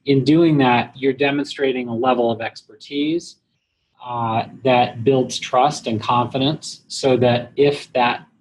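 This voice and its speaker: A man giving explanatory answers as a business guest in an interview, speaking in a measured, halting way with pauses. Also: medium pitch, darkish tone, poor audio. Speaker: guest, internet marketing company president. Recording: clear background noise.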